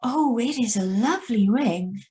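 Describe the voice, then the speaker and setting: A woman's voice: on the high side, expressive, measured pace, dark tone. A woman playing a troubled, hesitant young woman who survived an accident, in a radio drama read over a video call. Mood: polite, gentle. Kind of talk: radio drama acting with first-person narration. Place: remote, on a Zoom video call. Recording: live video call reading.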